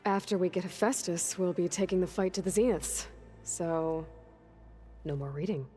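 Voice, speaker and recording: A woman's voice: on the low side, moderate pace, dark tone, faint music behind, close to an everyday voice. A woman playing a young warrior in a video game, guarded but caring, with a direct, understated way of talking. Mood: quiet, sincere. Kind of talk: video game cutscene acting.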